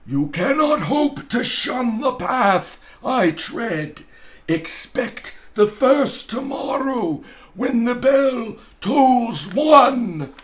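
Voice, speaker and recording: A man voicing a mournful ghost in a storybook reading, delivering solemn warnings in slow, wailing, drawn-out proclamations. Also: very high voice, very animated, pitched above the performer's own voice, exaggerated voice. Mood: ominous.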